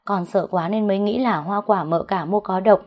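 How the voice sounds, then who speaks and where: A woman's voice: mid-range voice, normal speed, darkish tone, close to an everyday voice. A woman voicing a scheming young pregnant woman who whines, stammers and pleads tearfully, in a story narration. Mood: pleading. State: crying.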